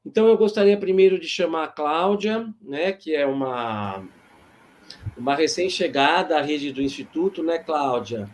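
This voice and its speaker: A man with a Brazilian accent moderating an informal online panel, explaining things in a measured way with thoughtful pauses. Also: fairly high pitch, lively intonation. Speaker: host and moderator.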